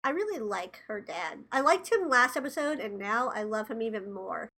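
Woman in her twenties, high voice, nasal, congested from a cold, casual podcast chat. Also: big pitch swings, normal speed, pushed and bright. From Tennessee, USA. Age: 20s. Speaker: podcast co-host.

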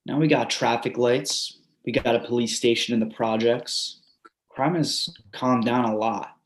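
A man's voice: mid-range voice, steady tone, measured pace, reverberant, a little hiss or hum, natural voice. A man reading as a lifelong neighborhood resident, talking candidly in a conversational way with "you know" asides, heard over a video call. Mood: earnest. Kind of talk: readers theatre monologue.

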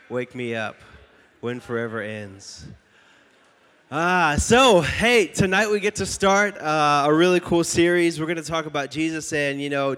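A man's voice: fairly high pitch, a bit bright. A young man with a stuffy, slightly nasal voice from a cold, preaching casually and jokingly to a youth group and reading scripture aloud. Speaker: youth pastor.

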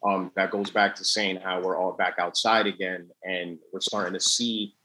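A man's voice: sits low, flat delivery, normal speed, sharp tone, a little hiss or hum, poor audio. A man joining an interview over a remote connection, speaking in an easygoing, thoughtful way. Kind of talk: casual interview chat. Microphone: remote call connection.

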